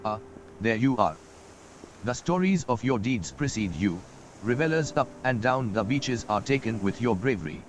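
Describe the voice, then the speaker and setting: Actor doing a seasoned old mentor, blunt and folksy, warmly pushing a young hero, over game music. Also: male voice, mid-range voice, expressive, moderate pace, lightly acted. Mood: encouraging. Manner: folksy, wry, encouraging. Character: older male mentor, gruff and encouraging. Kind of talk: game voice acting. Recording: studio voice with game music behind.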